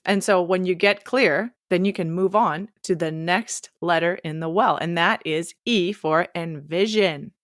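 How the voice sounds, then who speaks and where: A woman's voice: lowish voice, measured pace, hardly any pauses, no room sound. Encouraging woman podcast co-host, dry sound. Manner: encouraging, wraps up and moves the talk on. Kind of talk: casual podcast conversation.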